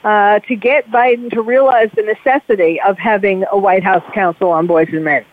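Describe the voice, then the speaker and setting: A woman's voice: medium pitch, fairly even intonation. A woman hosting a talk show, cutting in to steer her guests because time is short. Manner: hurried, cuts in to steer the talk. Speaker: host. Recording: tinny phone-like radio sound.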